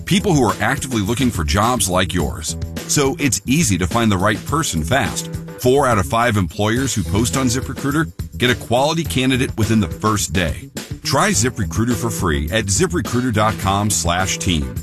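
A man reading a scripted radio ad in a smooth, persuasive tone. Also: on the low side, lively intonation, moderate pace, over music.

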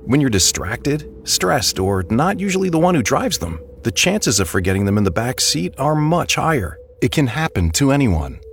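Expressive man narrating a safety ad. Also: mid-range voice, moderate pace, creaky, few pauses, a little hiss or hum. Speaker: announcer. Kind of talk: public service ad narration.

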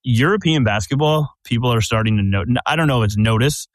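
A man's voice: mid-range voice, fast talker, no echo. A man hosting a casual sports podcast, talking in a conversational way, full of fillers, and steering the talk with questions. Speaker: podcast host. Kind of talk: casual chat.

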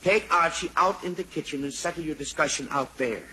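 A man's voice: on the high side, brisk, bright, forceful tone. An actor playing an exasperated, weary middle-aged father, sighing and long-suffering, in an old-time radio sitcom. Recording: old noisy radio broadcast.

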